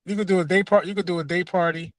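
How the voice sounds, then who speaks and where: A man's voice: high-pitched, fairly even intonation, fast talker, dry recording. A man in his thirties with an American accent, chatting casually as a sports show co-host in slangy, emphatically repeated phrases. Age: 30s. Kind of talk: casual joking chat.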